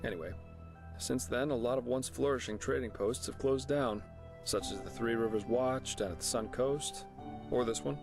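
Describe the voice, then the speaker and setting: An actor playing a chatty, wry, easygoing adventurer in a fantasy game, explaining things to a newcomer in a casual, jokey manner. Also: male voice, medium pitch, normal speed, gravelly, music in the background, natural voice. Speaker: travelling companion and guide character. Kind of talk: scripted fantasy game dialogue.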